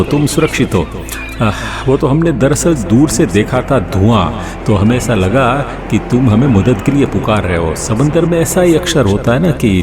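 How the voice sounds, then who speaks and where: Man acting a reassuring rescuer, medium-pitched. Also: close to an everyday voice. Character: reassuring male sailor who rescues a castaway. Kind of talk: Hindi audio story acting.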